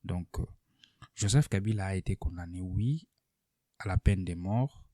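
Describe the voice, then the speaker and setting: Male political analyst for online video: very low, warm voice, unscripted and deliberate, pausing as he explains. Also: dry recording, studio quality.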